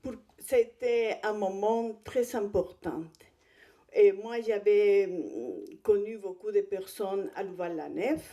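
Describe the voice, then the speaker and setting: Female guest speaker, native Spanish with Chilean accent. Unhurried, soft-edged tone, hesitant phrasing, presenting at a conference. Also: medium pitch. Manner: hesitant, halting delivery with non-native phrasing. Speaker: guest speaker, visual artist.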